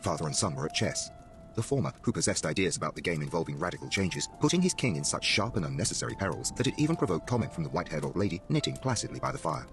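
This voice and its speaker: A man's voice: deep voice, big pitch swings, very fast, gravelly, faint music behind. A man narrating a story as an audiobook narrator, in a dramatic scripted reading style.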